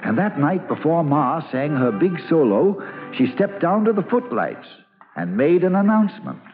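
Nostalgic storyteller on tinny old radio. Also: male voice, a little high, big pitch swings, normal speed. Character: grown actor fondly recalling his boyhood on stage. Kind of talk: old-time radio drama narration. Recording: tinny vintage radio, loud music behind.

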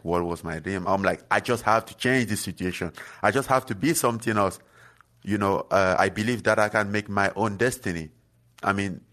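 Man from Cameroon, very deep voice, halting earnest interview talk. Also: fairly bright tone. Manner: halting, many fillers and restarts, earnest. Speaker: guest fighter. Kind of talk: casual interview chat.

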